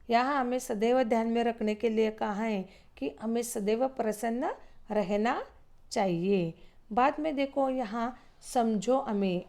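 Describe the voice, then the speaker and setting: A woman teacher slowly explaining a Hindi textbook lesson, patiently glossing each phrase in simple words with frequent hesitations. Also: fairly high pitch, gravelly, a little mellow. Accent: Marathi-influenced Hindi.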